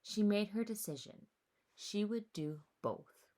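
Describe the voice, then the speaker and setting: A woman reading a children's story aloud with animated, deliberate, expressive narration. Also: medium pitch.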